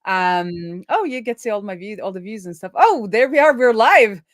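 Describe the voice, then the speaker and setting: A woman's voice: medium pitch, lively intonation, moderate pace, no echo, clean studio sound. A woman hosting a live coding stream in casual chat, informally thinking aloud as she explains. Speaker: live stream host, web developer.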